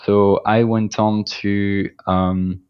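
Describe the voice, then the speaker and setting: A man's voice: lowish voice, little pitch movement, extremely slow, clear, resonant tone, muffled recording. A man with a French accent, a company founder talking as a guest in a casual interview, hesitant with many restarts and fillers.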